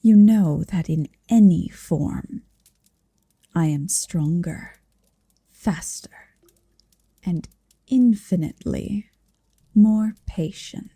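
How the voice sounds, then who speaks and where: A woman's voice: lowish voice, drawn-out words, long pauses, dark tone, slightly rough, no echo, full character voice. A woman playing a huge, possessive, motherly female dragon in an ASMR roleplay, tenderly domineering and teasing, often whispering close to the mic. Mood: smug, tender. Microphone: close-up microphone, dry.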